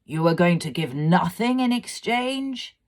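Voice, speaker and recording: Woman voicing a scornful, imperious fire fiend, slow and forceful. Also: lowish voice, animated, pitched above the performer's own voice. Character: booming, mocking male fire fiend, imperious and cruel. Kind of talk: dramatised storybook reading.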